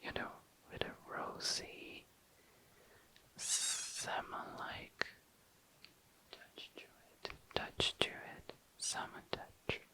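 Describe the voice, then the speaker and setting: An ASMR host whispering in a breathy, unvoiced voice, musing casually and tentatively, close to the mic. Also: extremely slow, bright, forceful tone. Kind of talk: whispered ASMR, casual makeup swatching narration.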